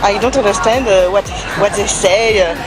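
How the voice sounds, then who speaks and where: A woman from France with a French accent, answering an interview in halting, simple English. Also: medium pitch, rough recording. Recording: music and loud crowd behind.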